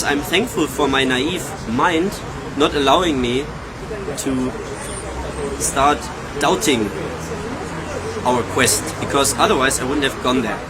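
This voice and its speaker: Man, 30s, earnest and reflective, mid-pitched voice, city traffic and heavy crowd noise behind. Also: poor audio. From Germany. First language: German. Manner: earnest, thoughtful, searching for words. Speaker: interviewee. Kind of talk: reflective personal testimony. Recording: loud crowd noise outdoors.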